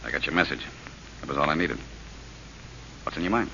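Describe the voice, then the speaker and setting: An actor playing a cool, loyal, tough racketeer in old radio drama, laconic and guarded, with hesitant pauses. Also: male voice, a bass voice, expressive, vocal fry, sharp tone, exaggerated voice. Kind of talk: 1930s radio acting. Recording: muffled old radio recording with hiss.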